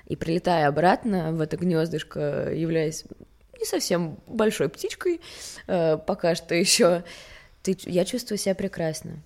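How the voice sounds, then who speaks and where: A young woman from Sweden speaks in a low, gravelly register with plenty of vocal fry. She is a model being interviewed, and she tells things candidly, wandering between thoughts and poking fun at herself. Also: normal speed, darkish tone. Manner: candid, rambling, self-mocking. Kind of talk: casual chat.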